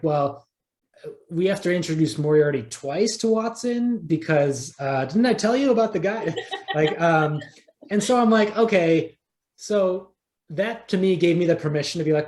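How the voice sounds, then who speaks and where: Higher-voiced man in an echoey room. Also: a little mellow. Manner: conversational, thinking aloud, rephrasing as he goes. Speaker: interviewed writer. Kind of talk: casual podcast interview. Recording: roomy, echoey sound.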